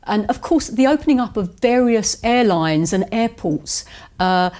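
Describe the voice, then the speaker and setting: A woman who runs a property agency, answering interview questions in a measured, businesslike way with some hesitations. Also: medium pitch, lively intonation, darkish tone.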